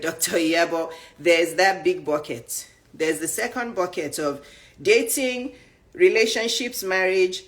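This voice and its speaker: A woman's voice: mid-range voice, fairly bright tone. A woman, a coach talking bluntly and emphatically to the camera, rattling off lists of questions. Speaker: dating and life coach. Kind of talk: direct-to-camera coaching talk.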